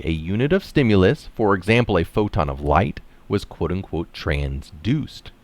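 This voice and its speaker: A man with a low voice reads scholarly writing aloud. His phrasing is deliberate and emphatic, with pitch that moves widely. The sound is dry and close. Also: no room sound. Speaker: article reader and commentator. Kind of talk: reading a science article aloud, with brief casual commentary.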